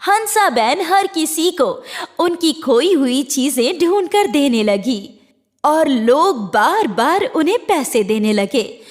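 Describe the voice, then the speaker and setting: A woman's voice: high voice, sing-song, slow. A woman narrating a scripted moral story for a children's cartoon.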